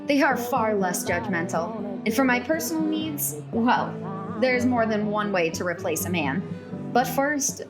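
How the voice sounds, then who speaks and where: A woman's voice: on the high side, lively intonation, measured pace, over loud music. A woman playing a frazzled, self-mocking single woman in a radio play, speaking with wry exasperation. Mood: resolved.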